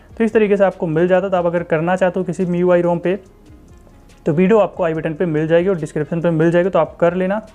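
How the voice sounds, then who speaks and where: A man's voice: on the high side, dark tone. A man with an Indian accent giving a casual tech walkthrough, explaining things to viewers directly and repetitively. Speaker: tech channel host reviewing phone software. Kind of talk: casual screen-recording walkthrough commentary.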